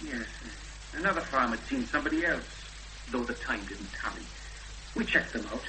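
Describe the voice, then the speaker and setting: An actor playing a cautious, courteous rural policeman with a Welsh accent and a halting official delivery in a 1970s radio drama. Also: medium pitch, animated, close to an everyday voice. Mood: halting, sombre. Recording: old radio recording, loud hum and noise.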